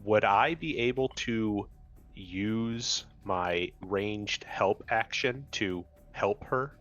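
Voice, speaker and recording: A man playing in a tabletop game, asking the game master questions slowly. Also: lowish voice, a bit bright, dull audio, faint music behind. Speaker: player. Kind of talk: casual tabletop roleplay.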